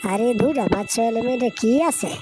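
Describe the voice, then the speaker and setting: A chatty older village auntie, voiced by a woman in a cartoon story. She talks in a rustic, gossipy way as a concerned neighbour, over loud music. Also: a little high, fast, close to an everyday voice. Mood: disapproving. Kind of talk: Bengali moral-story cartoon dialogue.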